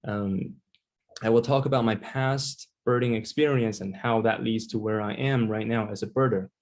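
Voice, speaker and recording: Young male presenter from China, hesitant delivery with filler pauses, video-call audio. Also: lowish voice, fairly even intonation, a little mellow. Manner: hesitant, lots of ums and uhs. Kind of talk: online presentation.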